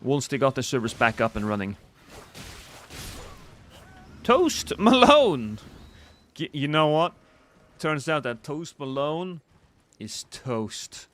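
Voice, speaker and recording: Norwegian male streamer, fairly high voice, joking chat banter with loud bursts at the game. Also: very animated, takes it slowly, pauses often. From Norway. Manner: reacts loudly to in-game action. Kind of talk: casual live gameplay stream chat.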